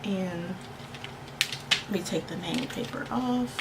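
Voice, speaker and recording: A woman's voice: lowish voice, slow pace. A congested, slightly tired woman hosting a makeup tutorial, chatting casually and playfully in slang, often repeating words for emphasis. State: tired, under the weather with allergies. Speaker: beauty vlogger and makeup tutorial host. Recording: clearly noisy home recording.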